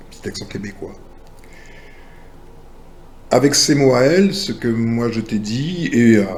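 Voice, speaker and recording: A man hosting a casual spiritual talk, speaking slowly and directly to the listener in a relaxed, familiar way. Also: on the low side, a little hiss or hum. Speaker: channel host.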